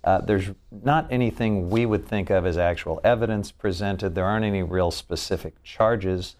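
Man, deep voice, interview host, measured, leads the guest through his story. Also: darkish tone. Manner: measured, leading questions. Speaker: congressman hosting an interview. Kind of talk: interview host, reading aloud from a book.

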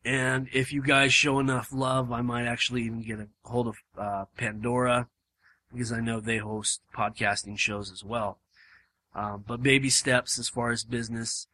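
A man hosting a casual podcast, his voice hoarse and starting to give out, rambling with lots of fillers and restarts. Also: medium pitch, little pitch movement, normal speed, pushed and bright. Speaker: podcast host. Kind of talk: casual chat. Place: home room.

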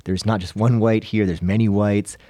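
A man with a low, mellow voice hosts a casual podcast discussion. He is measured and qualifies his points as he goes, in a close, dry recording. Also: fairly even intonation, no room sound. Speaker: podcast host.

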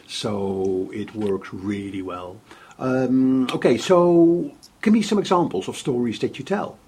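A man's voice: medium pitch, slow pace, darkish tone, breathy edge. A man hosting a podcast interview, who stammers and restarts his questions and often ends them on tag questions. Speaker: podcast host.

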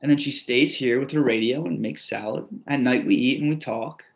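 A man's voice: mid-range voice, a little fast, gravelly, lightly acted. A man in a play reading, playing an anxious, needy man with a stammering, pleading manner. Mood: defensive, anxious. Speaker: actor in a staged play reading. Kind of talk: readers theatre acting.

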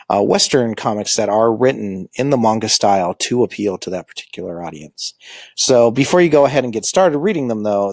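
A man giving an informal lecture on manga, halting often with ums and uhs. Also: fairly low pitch, darkish tone, no echo. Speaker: school librarian teaching manga basics.

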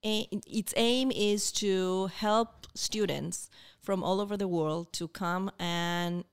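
A woman's voice: mid-range voice, slow pace, clean tone. A woman in her forties with an Argentine accent, a native Spanish speaker, giving casual explanatory answers as an interview guest. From Buenos Aires, Argentina. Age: 40s. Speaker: interview guest, university international student program staff.

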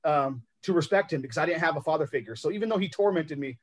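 A man from Los Angeles whose first language is sign language, telling his life story as a podcast guest in a reflective, halting way with many ums and uhs. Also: a little high, animated. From Los Angeles, United States.

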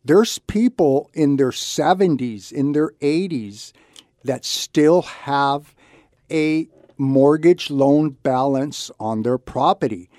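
A man's voice: a little high, slow, a little mellow, no room sound, clean studio sound. A man hosting a radio talk segment as a loan officer, explaining things conversationally in short, repeated, emphatic phrases. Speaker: radio show host and reverse mortgage loan officer.

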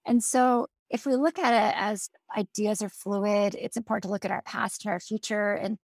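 A woman's voice: mid-range voice, fairly even intonation, moderate pace, dry recording, clean studio sound. A woman hosting a podcast in a casual, chatty interview style. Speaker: podcast host.

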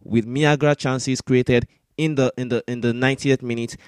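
A man's voice: medium pitch, steady tone, moderate pace, clear, resonant tone, no echo, studio quality. A man hosting a sports discussion, giving opinionated, argumentative commentary with points repeated for emphasis. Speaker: sports podcast host.

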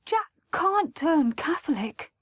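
Lady narrator doing an anxious young newlywed daughter, high pleading voice, earnest, storybook reading. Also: big pitch swings, slow pace, full character voice.

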